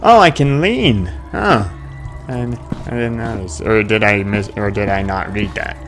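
Male gaming commentator, very animated pitch, casual muttered asides with sudden exclamations, music behind. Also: unhurried. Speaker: gaming video host. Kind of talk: casual let's-play commentary. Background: game music and sound effects.

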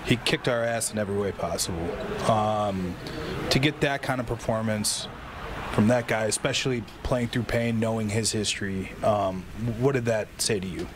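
Halting male reporter, fairly low voice. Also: crowd noise. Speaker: sports reporter. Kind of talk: asking questions at a press conference. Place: indoor press conference room. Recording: clearly noisy press room sound.

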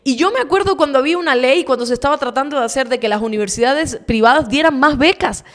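A woman's voice: sits high, fast. A woman in her thirties with an Ecuadorian accent, hosting a political talk show and venting with indignation. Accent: Ecuadorian Spanish. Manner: indignant, emphatic, swears. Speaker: show host. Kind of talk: heated political commentary. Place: home studio, indoors. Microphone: desk mic on stand, video call.

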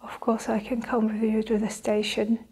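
A young woman playing a cold, scheming evil nurse in an improvised ASMR roleplay, soft-spoken and deliberate, often slipping into whispers. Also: fairly high pitch, completely flat delivery, breathy edge. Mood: cold, defensive. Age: young adult.

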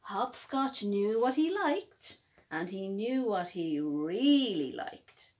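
A woman reading a picture book aloud as a storyteller, with expressive, emphatic phrasing for young children. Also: mid-range voice, slow.

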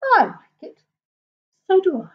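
A woman voicing excitable, astonished small animals chattering in a children's storybook. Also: extremely high pitch, unhurried, dull audio, voice pushed up, exaggerated voice.

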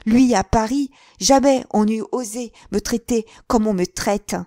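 Woman narrator doing a proud young noblewoman, high and vehement, dry close sound. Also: a little slow, warm tone, dry recording, lightly acted.

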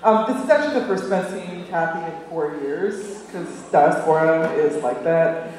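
A woman's voice: low-pitched, lively intonation, normal speed, darkish tone. A woman writer on a panel, chatty and self-deprecating, speaking casually on an auditorium stage. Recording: echoey hall from far off.

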